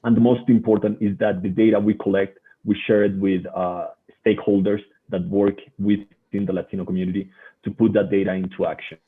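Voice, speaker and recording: A man with a Colombian Spanish accent presenting as a guest researcher in a webinar, haltingly and often repeating words. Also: on the low side, little pitch movement, husky. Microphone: online video call. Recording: muffled, phone-like webinar audio.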